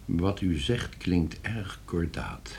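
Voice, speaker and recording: An actor playing a wary, sardonic addict with a dry, guarded manner in an old radio play. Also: male voice, low voice, very animated, takes it slowly, creaky, darkish tone, full character voice. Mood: guarded, probing. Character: wary, sardonic morphine addict being hired to kill. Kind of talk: Dutch radio play acting.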